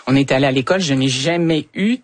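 A woman in her sixties with a Quebec French accent, calling into a radio show and talking slowly through her thoughts with many fillers and restarts. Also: extremely low pitch, no echo. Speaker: radio caller. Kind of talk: reflective radio conversation.

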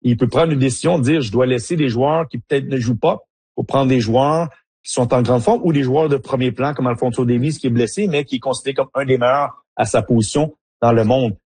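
A man's voice: medium pitch, a little fast, darkish tone, breathy edge, dry recording. A man, a former player turned soccer analyst, answering interview questions with frequent fillers and restarts. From Haiti. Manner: hesitant, many fillers and restarts.

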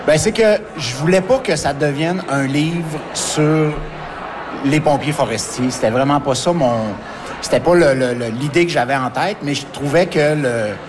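A man in his fifties with a Québécois accent, a writer and forest firefighter talking in a radio interview, halting with many restarts and fillers. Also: on the high side, noisy background. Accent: Québécois French. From Quebec.

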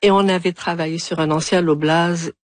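A woman's voice: on the low side, steady tone, normal speed, no echo. A middle-aged woman from Algeria, an urban planner answering interview questions, often restarting and repeating words as she searches for them.

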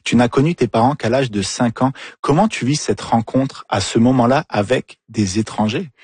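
A man hosting a radio show, reading smooth introductions and asking follow-up questions. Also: medium pitch, normal speed, darkish tone, no echo. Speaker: radio host. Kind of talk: radio interview. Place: radio studio.

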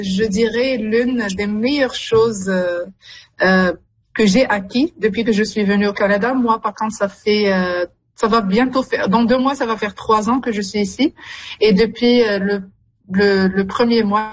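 A young woman, speaking as a journalist guest in an interview, with many pauses and fillers. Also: medium pitch, fairly even intonation, rough recording. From Morocco. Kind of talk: radio interview.

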